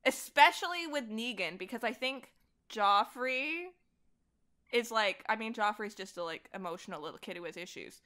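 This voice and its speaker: A woman's voice: fairly high pitch, lively intonation, normal speed, pushed and bright. A woman chatting casually and enthusiastically as a guest on a podcast. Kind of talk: casual chat.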